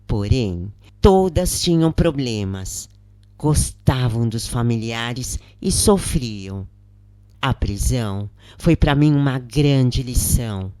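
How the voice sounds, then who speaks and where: Slow, measured Brazilian narrator. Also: medium pitch, warm tone, no room sound. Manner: measured, deliberate reading with pauses between phrases. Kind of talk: scripted narration, audiobook-style reading of a first-person spiritist account.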